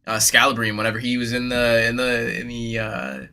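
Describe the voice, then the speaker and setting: A man's voice: medium pitch, flat delivery, a little fast, bright, forceful tone, clean tone. A young man hosting a live sports card break, chatting casually and hyped with slang, speaking straight to his viewers in a slightly nasal voice.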